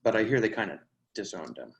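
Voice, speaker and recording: A man's voice: fairly low pitch, very animated, quick pace. Man reading a breathless, gossipy newcomer. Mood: gossipy, knowing. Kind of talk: readers theatre play reading.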